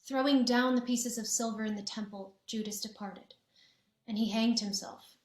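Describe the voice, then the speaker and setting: A woman's voice: on the high side, steady tone, normal speed, roomy sound. A woman narrating a scripture passage in a church, reading evenly and carefully. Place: church sanctuary.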